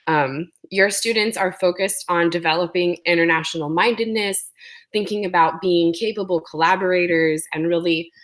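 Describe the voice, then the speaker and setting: A woman's voice: on the low side, steady tone, normal speed, fairly bright tone. A woman presenting an educational webinar, speaking with a sore throat and explaining things with frequent ums.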